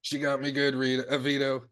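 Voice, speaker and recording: A man's voice: medium pitch, monotone, fairly quick, a bit bright, clear, resonant tone, natural voice. A man reading the part of a wry, profane action-hero sidekick in a comedy table read. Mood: dying, earnest.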